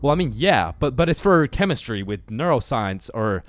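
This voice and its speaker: A man's voice: mid-range voice, very animated, normal speed, pitched below the performer's own voice, natural voice. A man voicing a shady, clueless male slacker substitute teacher who rambles hesitantly in a cartoon story. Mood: evasive.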